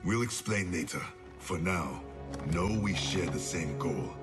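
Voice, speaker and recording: A grim ninja ally in a video game cutscene. The voice is on the low side and sounds practical and hardened. It echoes beneath a loud score. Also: male voice, expressive, measured pace. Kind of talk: video game cinematic acting. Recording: echoey, distant, under loud music.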